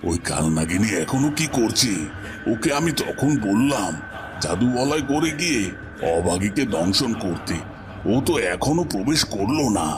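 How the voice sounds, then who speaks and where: A man's voice: lowish voice, very animated, normal speed, music in the background. A man voicing a scheming, impatient evil sorcerer in a cartoon, with brooding, melodramatic menace. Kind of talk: melodramatic cartoon villain monologue.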